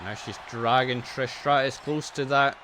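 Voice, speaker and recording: A man's voice: mid-range voice, steady tone, measured pace. Guy doing laid-back play-by-play on a wrestling game, chatty and jokey, voice a bit worn, noise in the background. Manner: chatty, self-mocking, laughs at the action. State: voice getting tired. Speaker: gaming channel host. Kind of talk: casual play-by-play commentary over gameplay.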